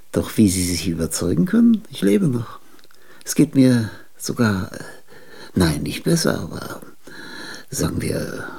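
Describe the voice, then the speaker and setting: Eine heisere, knarzende Männerstimme gehört einem kranken, argwöhnischen alten Künstler im Hörspiel. Er spricht eher tief, springt lebhaft in der Tonhöhe und wechselt theatralisch zwischen gehauchtem Flüstern und plötzlichen Ausbrüchen. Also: male voice, mid-range voice, vocal fry, husky, exaggerated voice. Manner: theatrical, swinging from hushed whispers to sudden outbursts. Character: ailing, suspicious, self-aggrandizing older male artist. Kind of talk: radio drama acting.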